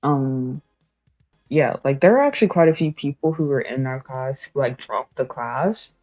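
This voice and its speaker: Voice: medium pitch, expressive, normal speed, a little mellow, dry recording, muffled recording, faint music behind. A young adult streamer chatting casually while studying, rambling, correcting themselves and laughing often.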